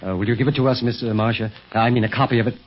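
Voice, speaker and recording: A man's voice: mid-range voice, fairly even intonation, racing, close to an everyday voice. A man playing a level-headed secret police agent in a 1930s radio serial, earnest and clipped. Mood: earnest questioning. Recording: muffled old 1930s radio recording.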